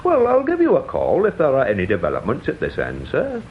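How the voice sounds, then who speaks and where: A long-winded police sergeant in a radio play, formal and eager to defer to his superior. His pitch swings widely as he explains things pedantically. The recording is slightly dull with faint noise. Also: huge pitch swings, brisk. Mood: deferential, pleased. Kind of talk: radio drama acting.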